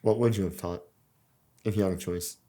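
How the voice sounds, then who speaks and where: A man's voice: deep voice, steady tone, a little fast, warm tone. A man hosting a podcast, asking his guest easygoing, curious questions. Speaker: podcast host. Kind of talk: interview questions.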